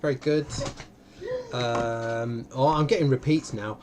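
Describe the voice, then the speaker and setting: A man hosting a casual live question session, talking haltingly and often repeating phrases as he goes. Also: medium pitch, fairly even intonation. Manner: hesitant, halting, circles back and repeats phrases. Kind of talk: casual unscripted question-and-answer talk. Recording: clearly noisy live stream audio.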